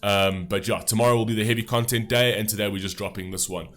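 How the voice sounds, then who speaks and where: A man's voice: lowish voice, steady tone, fast talker, a bit bright. A man with a stuffy nose from a cold, talking loosely in a casual reaction commentary with many fillers. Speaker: music reaction host.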